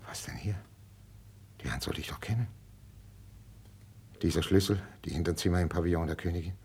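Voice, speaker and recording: An actor playing a proud, jealous older king in a classical radio drama, imperious and theatrical, swinging from hushed menace to outbursts. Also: low voice, fairly quick. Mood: hushed, suspicious. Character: proud, jealous, volatile older Spanish king, tormented by suspicion. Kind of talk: 1950s German classical radio drama acting.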